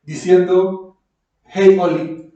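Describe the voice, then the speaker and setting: A man's voice: high-pitched, flat delivery, slow pace, mellow, recorded from a distance, lo-fi sound. A man with a Mexican accent rambles in slangy, casual podcast chat, in a very echoey room away from the mic. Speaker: podcast host.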